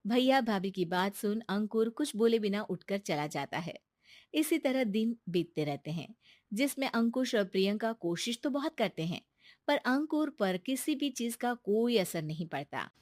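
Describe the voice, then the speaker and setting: A woman narrating an animated moral story in a calm, even storytelling manner. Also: mid-range voice, normal speed, no room sound, studio quality.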